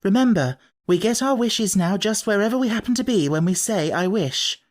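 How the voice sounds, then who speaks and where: Woman as a sensible girl, higher-pitched. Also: expressive, moderate pace, natural voice. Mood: warning. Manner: earnest, warning. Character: sensible, cautioning young girl.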